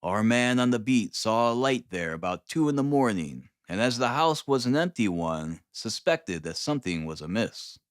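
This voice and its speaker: Animated male audiobook narrator. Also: medium pitch, moderate pace, hardly any pauses, clean tone, no room sound, studio quality. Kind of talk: expressive scripted narration of a classic novel.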